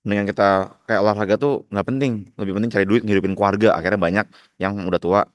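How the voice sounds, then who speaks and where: A man's voice: on the low side, fairly even intonation, very fast, no echo. A man with an Indonesian accent hosting a casual interview, putting points and questions to his guest. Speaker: podcast host.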